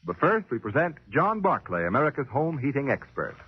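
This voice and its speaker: A man's voice: medium pitch, very animated, fairly quick, husky. A man making formal scripted announcements as a 1940s radio announcer. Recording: muffled 1940s radio, loud organ music.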